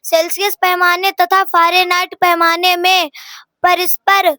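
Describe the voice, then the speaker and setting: A young schoolgirl with a very high, bright voice reads a textbook aloud. She goes slowly and haltingly, word by word, stumbling over harder terms. The sound is close and dry. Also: flat delivery, dry recording. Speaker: student reading a lesson aloud.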